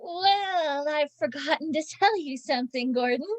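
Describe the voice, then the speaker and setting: A woman's voice: sits high, animated, moderate pace. A woman playing a naive, anxious amateur panto actress in a read-through of a stage comedy. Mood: dreading, apologetic. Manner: hesitant, naive, dithering. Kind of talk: play reading of a stage comedy.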